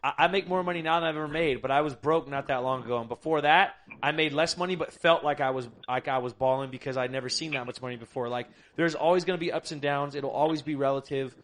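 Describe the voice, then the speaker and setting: A man's voice: fairly high pitch, quick pace, few pauses, fairly bright tone. A young adult man hosting a podcast, talking bluntly and emphatically with lots of swearing. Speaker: podcast host. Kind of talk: casual podcast chat.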